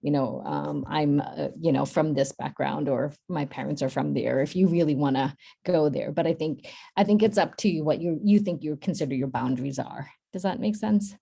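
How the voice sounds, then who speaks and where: A woman from Boston hosting an online workshop, giving informal advice in a halting way and rephrasing as she goes. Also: low voice, creaky, mellow, slightly rough. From Boston, United States. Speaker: workshop host and centre staff speaker. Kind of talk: informal workshop advice over video call. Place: virtual workshop video call.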